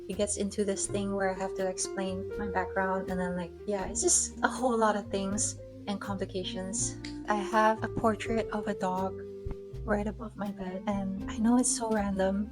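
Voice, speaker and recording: Chatty young woman over background music. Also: medium pitch. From Malaysia, raised in China. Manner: chatty, many filler likes. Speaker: vlogger. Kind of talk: casual reflective vlog talk.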